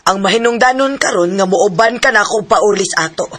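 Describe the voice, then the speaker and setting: A woman's voice: medium pitch, brisk, a bit bright, lightly acted. A woman acting a domineering, scheming middle-aged mother in a melodrama, with a Cebuano Filipino accent. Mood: harsh, bossy.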